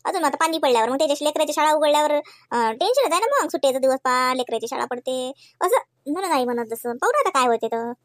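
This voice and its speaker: A woman's voice: high-pitched, lively intonation, fast talker, few pauses, no room sound, clean studio sound. A woman voicing a practical, good-natured young wife and mother in a comedy cartoon, homely and chatty, with a Varhadi Marathi accent. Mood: explaining. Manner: chatty, homely, matter-of-fact. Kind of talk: homemade comedy cartoon dialogue.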